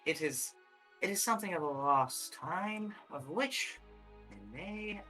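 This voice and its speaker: A roleplay player speaking in character, measured and cryptic, often trailing off and changing the subject. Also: medium pitch, expressive, bright, forceful tone, faint music behind. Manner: measured, cryptic, keeps saying anyways.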